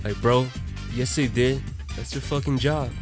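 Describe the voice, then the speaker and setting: A young man with a mid-pitched voice narrates a video essay. He is casual, slangy and irreverent, talking like he is chatting to a friend, with faint music underneath. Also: steady tone, unhurried. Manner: irreverent, profane, conversational.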